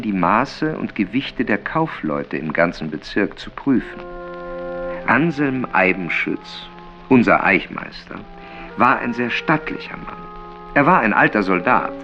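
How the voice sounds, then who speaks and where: A low-pitched, melancholic male writer, tells his literary tales for a radio play with bitter irony. His delivery is theatrical and highly animated, deliberately paced, in slightly dull sound with music behind.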